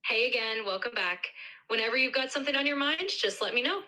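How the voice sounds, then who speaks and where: A woman's voice: fairly high pitch, lively intonation, fast talker, sharp tone, low-quality recording. A synthetic female voice of an AI assistant replying in friendly, polished chat. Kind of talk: friendly scripted chat replies.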